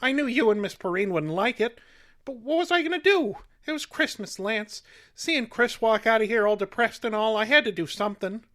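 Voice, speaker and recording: A man's voice: sits high, expressive, normal speed, sharp tone. An actor playing an anxious, simple-hearted handyman, folksy and repeating his phrase endings in radio drama style. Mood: pleading. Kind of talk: radio drama character acting.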